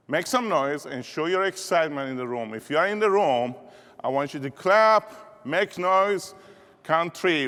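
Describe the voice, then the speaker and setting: Man with a Persian accent, motivational keynote speaker, rallying a crowd, fairly high voice, echoing hall. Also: sharp tone, clear, resonant tone. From Iran. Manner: rallying, call-and-response with audience. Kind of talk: energetic keynote speech. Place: large conference hall. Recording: reverberant hall.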